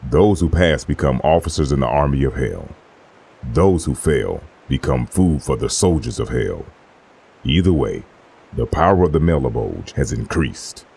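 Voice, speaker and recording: A man performing a grave, clinical narrator who reads a demon-hunting manual in a measured, formal, gravelly voice, as scripted comic-dub narration. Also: extremely low pitch, lively intonation, a little mellow, heavily acted. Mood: cold, instructive.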